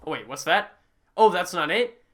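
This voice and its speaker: A game reviewer gives a sarcastic, mocking voice-over that often jumps suddenly into loud, high outbursts. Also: lively intonation, moderate pace, slight background noise.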